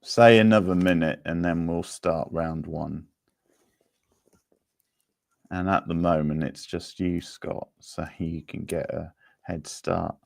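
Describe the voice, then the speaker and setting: A man's voice: low voice, fairly even intonation, slow pace, vocal fry, long pauses, darkish tone, no room sound, studio quality. A man hosting a livestream quiz, chatting casually with viewers in a laid-back, conversational way. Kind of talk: casual live chat with viewers.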